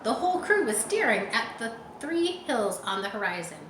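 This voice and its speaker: A woman's voice: fairly high pitch, very animated, moderate pace. A woman narrating an adventure story for children, reading expressively and adding simple explanatory asides. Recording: echoey room with faint background noise.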